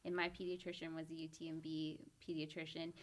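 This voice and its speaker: Female co-host from Texas, somewhat low voice, relaxed conversational podcast style. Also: quick pace. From Texas City area, Texas. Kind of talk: casual chat.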